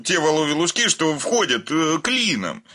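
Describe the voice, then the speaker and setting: An actor playing a nervous, stubborn landowner suitor in a radio comedy, stammering and fussily polite. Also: male voice, on the high side, big pitch swings, moderate pace, pushed and bright. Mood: agitated, insistent. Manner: stammering, hesitant, ceremoniously formal. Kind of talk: theatrical radio comedy acting.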